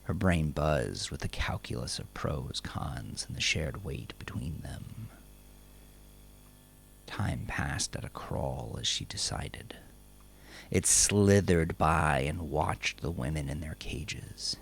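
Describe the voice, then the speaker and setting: A man narrating dark fiction in a dramatic, measured, brooding way, with phrase endings that drop to a hush. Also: a bass voice, lively intonation, creaky, a little hiss or hum. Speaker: audiobook-style narrator. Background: music.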